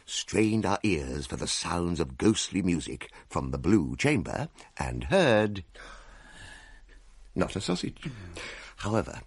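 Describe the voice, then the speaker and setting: An actor playing a pompous, self-important young gentleman, declaiming with wordy dignity in a comic radio drama. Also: sits low, breathy edge, exaggerated voice. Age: young adult.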